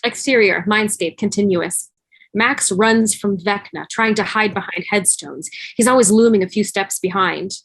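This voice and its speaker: A woman's voice: mid-range voice, moderate pace, fairly bright tone, low-quality recording. A woman reading scripted stage directions as narrator of a fan table read over a video call. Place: remote video call, music behind.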